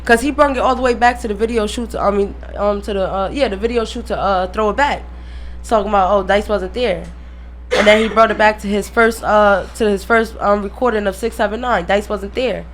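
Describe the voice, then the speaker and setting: A woman's voice: medium pitch, fairly even intonation, brisk, a little hiss or hum. A woman speaking as a guest in a casual radio interview, emphatic and slangy, often repeating her phrases.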